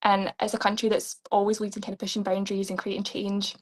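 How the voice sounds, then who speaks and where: A woman's voice: medium pitch, completely flat delivery, quick pace. A young woman from Scotland with a Scottish accent, answering questions earnestly and upbeat in a live online interview, laughing often. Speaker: pageant contestant.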